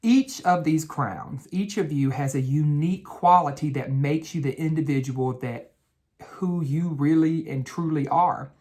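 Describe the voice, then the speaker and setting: A man speaking as an educator in a bedtime read-aloud for children, with a gentle, instructive way of talking and drawn-out key words. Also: a little high.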